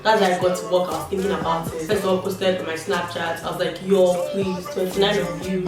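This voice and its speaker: A woman's voice: on the low side, little pitch movement, a little fast. Chatty woman in an echoey room. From Nigeria. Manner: chatty and candid, with slangy asides. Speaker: beauty vlogger. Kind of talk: casual chat while doing makeup. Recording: echoey room, distant mic, background music. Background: background music.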